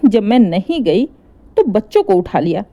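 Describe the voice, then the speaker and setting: A woman's voice: mid-range voice, sing-song, normal speed, warm tone. A woman voicing a distraught young mother pleading anxiously for her children in an emotional story.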